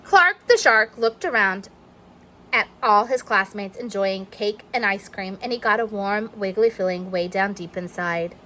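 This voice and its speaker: A woman reading a children's storybook aloud in an expressive narrating style. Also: mid-range voice, unhurried, slight background noise. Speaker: storybook reader.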